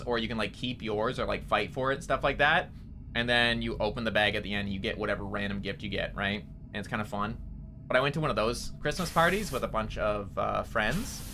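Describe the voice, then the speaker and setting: A man's voice: medium pitch, sharp tone, a little hiss or hum. A young man with a nasally voice, rambling casually to his chat as a streamer while playing a game. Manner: rambling, chatty, answering chat.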